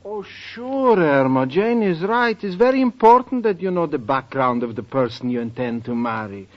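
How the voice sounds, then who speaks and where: Teasing eccentric professor, played by an actor, lively bouncing voice, fairly high, dull old radio sound. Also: male voice, normal speed, close to an everyday voice. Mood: joking. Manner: joking, teasing punchlines. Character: wisecracking eccentric old professor, a freeloading tenant. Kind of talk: 1940s radio sitcom acting. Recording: dull vintage radio with audience.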